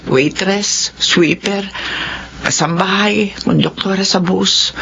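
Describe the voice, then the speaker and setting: A woman's voice: medium pitch, fairly bright tone, slight background noise. An actress playing a remorseful woman in her sixties in a Filipino radio drama, speaking in an earnest, pleading, confessional manner. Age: 60s. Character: remorseful 60-year-old mother seeking her abandoned children. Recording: muffled radio drama audio with music behind.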